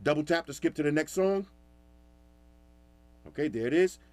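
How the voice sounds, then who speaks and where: A loud, animated man talks through a gadget review in a relaxed, chatty way. His voice sits a bit high for a man. The sound is dry and close, with no room echo. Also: moderate pace. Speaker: tech reviewer. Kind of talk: casual product review.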